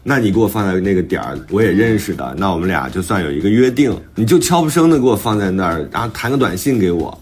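一位男性脱口秀主播，轻松随意地聊天，爱讲自己的小故事和吐槽。. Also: male voice, on the low side, animated, fast, few pauses, a little mellow. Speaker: podcast co-host and author. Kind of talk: casual banter on a talk show.

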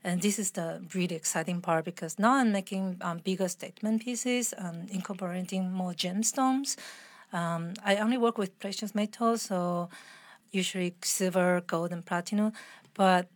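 A woman's voice: on the low side, normal speed, no echo. A woman with a mixed international accent speaks as a guest in a conversational interview. She is earnest and reflective, explaining her thoughts expressively. The recording is very dry, with little room sound.

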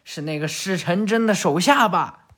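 An impulsive young ninja boy in a retold cartoon, voiced by a male storyteller. He sounds close to the narrator's own voice, only a little higher and more forceful. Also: moderate pace.

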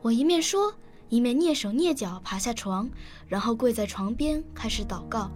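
A very high, childlike voice of an earnest young girl acted by a woman in a radio drama. She is eager and emotional, speaking over music. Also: lively intonation, normal speed, a little mellow, lightly acted. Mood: quiet, reflective. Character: earnest, emotional nine-year-old girl who lost a parent. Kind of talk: radio drama acting with first-person narration.

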